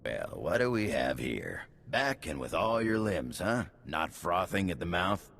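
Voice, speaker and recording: An actor playing a gruff, scornful mercenary boss in a video game, sneering and blunt. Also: male voice, low-pitched, big pitch swings, unhurried, fairly bright tone, a little hiss or hum, exaggerated voice. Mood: gruffly approving. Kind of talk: video game character acting.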